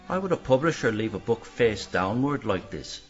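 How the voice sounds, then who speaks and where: A lowish dry hired crook, guarded, radio play, slightly dull sound with music under. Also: male voice, big pitch swings, normal speed. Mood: wary. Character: wary, dry-witted male career criminal for hire. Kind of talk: radio mystery drama acting. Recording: dull band-limited audio with music.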